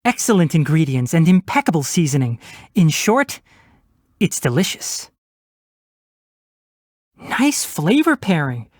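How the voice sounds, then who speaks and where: Young fox-eared forest ranger, game character, very animated pitch, dry wit, articulate, scholarly, close dry sound. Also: male voice, measured pace, long pauses, dry recording. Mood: instructive, composed. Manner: precise, articulate, wry, matter-of-fact. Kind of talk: video game character voice lines.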